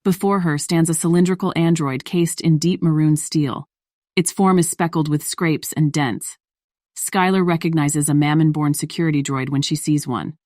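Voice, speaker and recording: A woman's voice: low-pitched, steady tone, moderate pace, a little mellow, no echo, clean studio sound. A woman reading scripted story narration in the role of narrator.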